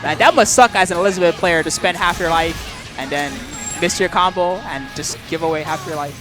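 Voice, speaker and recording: Man, from Puerto Rico, high-pitched, casual commentator, joking, music in background. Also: fairly quick. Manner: laid-back and joking, with animated storytelling bursts. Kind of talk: casual fighting game commentary. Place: tournament stream booth.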